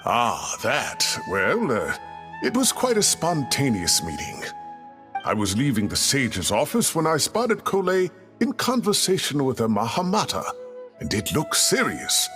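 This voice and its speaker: A hearty, boastful old scholar. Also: male voice, mid-range voice, huge pitch swings, unhurried. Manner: hearty, self-satisfied. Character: older male scholar, jovial and boastful. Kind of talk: video game cutscene acting. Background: background music.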